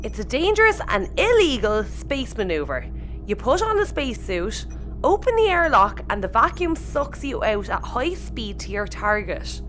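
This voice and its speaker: A woman's voice: fairly high pitch, very animated, normal speed, fairly bright tone, soft background music. An Irish woman acting as a principled, anxious and exasperated young spaceship crew member in a sci-fi audio comedy. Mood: worried, exasperated.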